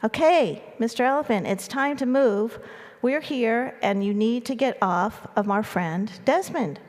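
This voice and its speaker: A woman's voice: a little high, a little slow, darkish tone, lightly acted. A woman telling a story, voicing a small, loyal, determined male mouse calling out insistently. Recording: echoey hall with long reverb.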